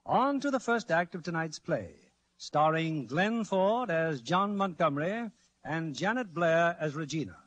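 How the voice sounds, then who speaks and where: A man's voice: fairly high pitch, expressive, measured pace, fairly bright tone, slight background noise. A man hosting a vintage radio show, reading scripted narration in a formal showman's manner. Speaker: radio host and producer. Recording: vintage 1940s radio broadcast.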